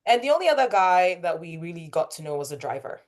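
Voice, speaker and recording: A woman's voice: fairly low pitch, sing-song, fast talker. A woman hosting a casual group book discussion, thinking aloud and putting questions to the others. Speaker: book club discussion host.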